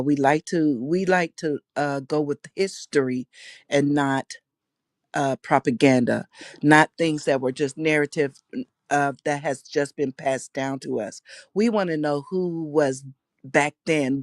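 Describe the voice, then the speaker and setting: A woman's voice: deep voice, no echo, clean studio sound. A woman speaking as a guest in an audio chat room, open and talkative, restarting her sentences often. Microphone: phone in a voice chat app.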